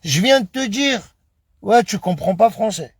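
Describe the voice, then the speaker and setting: High-voiced, slow, emphatic French man. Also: expressive, breathy edge, no echo, clean studio sound. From France. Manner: emphatic, repetitive, addresses viewers as brother. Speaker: live streamer. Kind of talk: casual live-stream rant.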